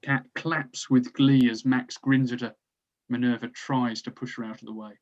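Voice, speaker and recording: Guy reading out screenplay action lines, medium pitch, bit lo-fi. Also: little pitch movement, normal speed. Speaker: narrator reading stage directions. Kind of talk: scripted narration at a table read. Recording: slightly dull, lo-fi recording.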